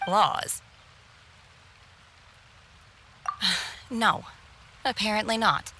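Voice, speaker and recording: An actress playing an aloof, blunt young woman in a game cutscene, speaking in a cool, deliberate way. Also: medium pitch, noisy background, natural voice. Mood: detached, blunt. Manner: cool, deliberate, matter-of-fact, sighing. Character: aloof, blunt young woman, calmly menacing martial disciple. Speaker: game voice actor. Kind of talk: video game cutscene acting.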